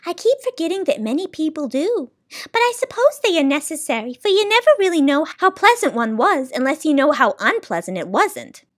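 A woman voicing a sorrowful but kindly female keeper of sounds in a read-aloud storybook, high and tearful. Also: sing-song, fairly quick, no room sound, clean studio sound. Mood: gentle. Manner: high, tearful, then gentle and motherly.

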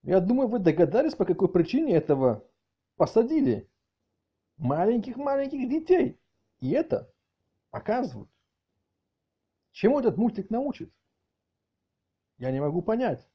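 A middle-aged man with a husky voice doing a mock-outraged comic rant, halting and repeating words as he exclaims. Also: high voice, big pitch swings. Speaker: video channel host. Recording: dull lo-fi audio, faint music behind.

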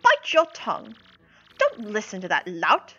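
A woman's voice: medium pitch, wildly swooping pitch, measured pace, a bit bright, breathy edge, faint music behind, heavily acted. A woman playing a grand, indignant female wind spirit in a video game, theatrical and haughty, on a dull lo-fi track. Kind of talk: video game character dub.

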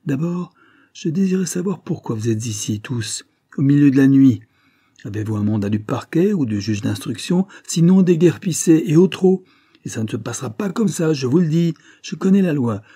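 A man's voice: normal speed, warm tone. A man voicing an irascible, spluttering older former lawyer with a harsh voice, in an audiobook story. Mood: indignant, blustering. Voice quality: harsh, rasping, shrill when angry. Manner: spluttering, outraged.

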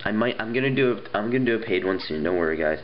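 Stuffy-nosed young man, deep voice. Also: lively intonation, fast talker, muffled recording, slight background noise. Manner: chatty, rambling, lots of ums and restarts. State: stuffy nose, getting sick. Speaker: app reviewer. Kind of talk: casual video review. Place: indoors at a wooden table.

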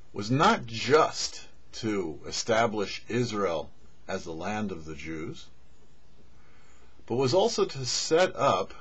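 Husky, animated middle-aged rabbi. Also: male voice, lowish voice. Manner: measured phrasing with Hebrew terms mixed in. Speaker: rabbi, host of a weekly Torah show. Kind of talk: short religious teaching to camera. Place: indoors before a cloth backdrop. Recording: dull, muffled lo-fi audio.

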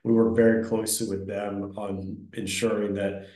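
A man's voice: on the low side, level tone, moderate pace, warm tone, reverberant. A middle-aged man with a head cold giving a businesslike presentation over a video call, talking earnestly with a few restarts. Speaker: association vice president presenting.

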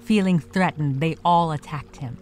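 A man playing a solemn storyteller, narrating a cautionary animal legend in a grave, deliberate, dramatic way. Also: a little high, darkish tone, faint music behind, lightly acted.

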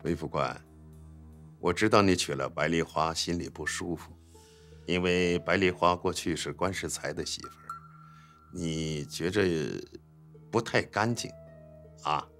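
An actor in a TV drama playing a bossy, scheming military commander who bargains and threatens. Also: male voice, low voice, measured pace, long pauses, a bit bright, faint music behind. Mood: smug, coaxing. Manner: commanding, haggling, bullying. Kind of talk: Chinese TV drama acting.

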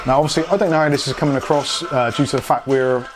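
A man's voice: mid-range voice, fast. A British man in his forties giving a casual, informal product review to camera on a lapel mic, thinking aloud as he goes. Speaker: tech reviewer, video host. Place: small home studio room, indoors. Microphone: lavalier. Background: music from speakers being tested.

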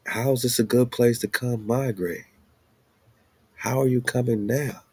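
A man's voice: mid-range voice, fairly even intonation, unhurried, darkish tone, husky. A man giving an informal, slangy commentary, asking rhetorical questions and repeating phrases for emphasis. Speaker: host. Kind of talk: informal commentary monologue over on-screen maps and documents.

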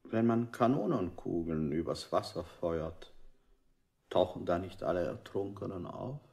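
A man's voice: low-pitched, a little slow, vocal fry, a little mellow. A man playing an interrogator in a radio play, putting formal, probing questions. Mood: coolly probing. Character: male interrogator, probing with absurd polite questions. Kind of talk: avant-garde German radio play acting.

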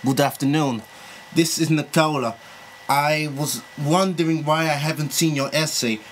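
Creepy, pleading lecturer, played by a man, halting prank-call delivery, slightly noisy amateur recording. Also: on the high side, steady tone, close to an everyday voice. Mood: stern, exasperated. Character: lovesick creepy Italian male university lecturer.

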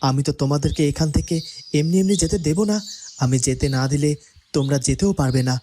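An actor voicing a commanding young prince in a cartoon, firm and insistent. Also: male voice, fairly high pitch, fast, warm tone, a little hiss or hum, natural voice. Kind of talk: cartoon dubbing.

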